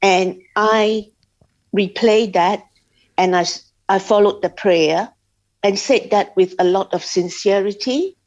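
A woman from Singapore telling her personal faith story as an interview guest, speaking haltingly with many pauses and restarts. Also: medium pitch, darkish tone. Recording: slightly dull lo-fi sound.

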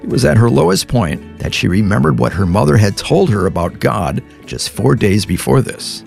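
Low gravelly male interview host. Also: expressive, a little mellow, faint music behind. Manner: measured, attentive, prompting questions. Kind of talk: interview host with scripted intro.

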